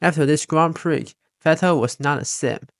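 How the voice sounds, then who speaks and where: A man's voice: on the high side, normal speed, gravelly, no room sound, clean studio sound. A man reading scripted narration as a fan commentator, with non-native phrasing and grammar slips.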